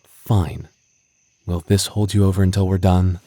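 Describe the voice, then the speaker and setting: A man's voice: deep voice, flat delivery, unhurried, dark tone, no room sound. A man playing a flustered, bashful young male game master in an intimate roleplay audio drama, stammering, sighing and mock-stern with his childhood friend. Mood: playful, bossy.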